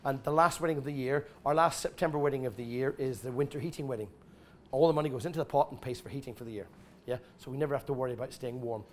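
A middle-aged man giving an informal talk and taking audience questions in a large exhibition tent, blunt and animated, swearing freely and restarting his sentences often. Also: mid-range voice, fast, slight background noise. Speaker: guest speaker at an expo.

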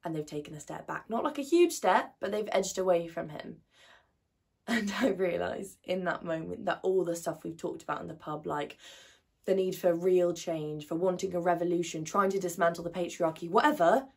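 A woman playing an outspoken, wounded 21-year-old idealist in a colloquial, emotional stage monologue, with a husky voice. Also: lowish voice, moderate pace, a little mellow. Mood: disillusioned, defiant.

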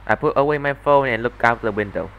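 A man's voice: medium pitch, quick pace, creaky, phone-quality sound. A man reading scripted first-person narration for a visual novel fan dub as an amateur narrator.

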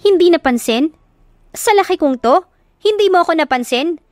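A woman voicing a jealous young rival who speaks in a shrill, accusing way. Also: lively intonation, moderate pace, dry recording, studio quality. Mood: indignant. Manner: shrill, indignant.

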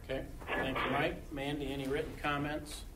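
A man in a mid-range voice chairs a city council meeting, moving briskly through motions and roll calls. He is recorded by a meeting-room mic with clear background noise. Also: mid-range voice, little pitch movement. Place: council chamber.

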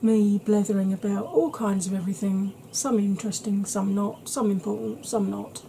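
A woman in her thirties chatting to camera at home in a soft ASMR style, rambling and often dropping into whispers. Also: mid-range voice, normal speed, gravelly, slight background noise, rough recording. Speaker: beauty vlogger. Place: small cluttered room at home.

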